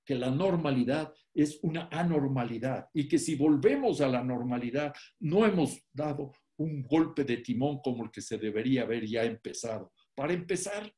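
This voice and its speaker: An older Ecuadorian man delivers a public talk over a laptop webcam from home. His delivery is emphatic and measured, building points through lists and leaning on key words, at an ordinary mid pitch. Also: warm tone. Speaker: economist giving an online talk. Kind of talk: public lecture. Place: home room, indoors. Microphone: laptop webcam on a video call.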